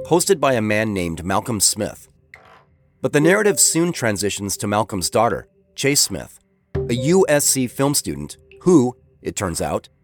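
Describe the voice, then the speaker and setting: A man hosting a podcast, reading a scripted recommendation as a narrator. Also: lowish voice. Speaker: podcast host.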